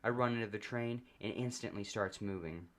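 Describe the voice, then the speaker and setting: A man narrates a comedic story in the first person. His voice sits on the low side, and he keeps a deadpan delivery as he reads. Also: normal speed.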